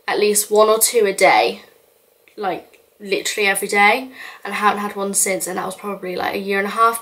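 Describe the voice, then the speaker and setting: A young woman from the UK talks through a question list for her vlog. Her delivery is chatty and rambling, full of little fillers and restarts, at a middle pitch. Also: fairly bright tone. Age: young adult. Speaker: YouTuber. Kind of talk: casual get-to-know-me vlog.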